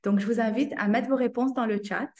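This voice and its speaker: A woman's voice: on the high side, steady tone, a little fast, a little mellow. A woman hosting an online information session, upbeat and engaging the audience. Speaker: host and moderator. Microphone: video call.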